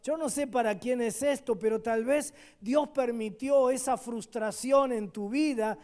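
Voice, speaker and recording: Argentine guy preaching, really high voice, slow and emphatic, gets loud then drops quiet. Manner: emphatic preaching, swinging between loud declarations and quiet asides. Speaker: guest pastor. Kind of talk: sermon. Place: church service.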